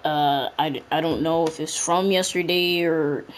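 A woman's voice: deep voice, steady tone, measured pace, background noise. A woman commentating a video game in a casual, rambling, self-mocking way, her voice worn as if she is losing it. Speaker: gameplay commentator. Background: game music and sounds.

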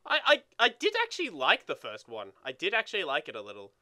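A young man with a very bright, forceful voice, slightly high and swinging widely in pitch. He chats casually over a game, excitable and sweary, stumbling over repeated words. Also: quick pace. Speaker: let's play co-host. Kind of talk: casual chat while playing a video game.

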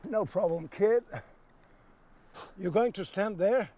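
A man's voice: high voice, slow pace, warm tone. An actor in his sixties playing a weary, sardonic news cameraman in a film drama, speaking outdoors. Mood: brisk. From Hungary. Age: 60s. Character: weary, wry older male news cameraman. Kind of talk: film acting, dramatic dialogue. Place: outdoors in an open field. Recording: noisy open-air film dialogue.

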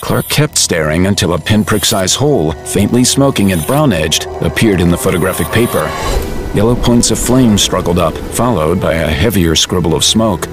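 A man's voice: low voice, animated, moderate pace, music in the background. A man reading scripted narration for a dramatized audiobook, as storyteller.

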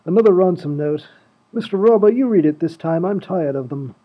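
A man playing a prim, put-upon lord in a comedy radio play, formal and fussy, with a husky voice. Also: sits high, lively intonation, brisk. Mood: puzzled, exasperated. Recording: tinny phone-like audio, faint music.

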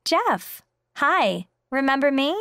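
A woman's voice: high voice, big pitch swings, takes it slowly, a bit bright, no room sound, studio quality, exaggerated voice. A woman playing a polite, friendly woman in a scripted textbook dialogue.

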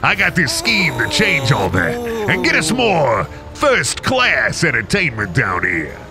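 A man's voice: mid-range voice, wildly swooping pitch, unhurried. A man playing Satan, a folksy boastful devil. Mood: gleeful. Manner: folksy drawling salesman patter. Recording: over loud music score.

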